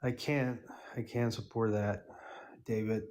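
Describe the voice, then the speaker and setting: A man from Mexico City calling in, speaking calmly and reflectively, often adding "right?". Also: fairly low pitch, measured pace. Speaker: caller. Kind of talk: casual call-in conversation.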